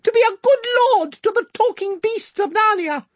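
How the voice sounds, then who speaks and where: Brief: high, piping storybook mouse knight, courtly and unbending. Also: normal speed, dry recording, clean studio sound. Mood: solemn, dutiful. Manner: formal, solemnly polite.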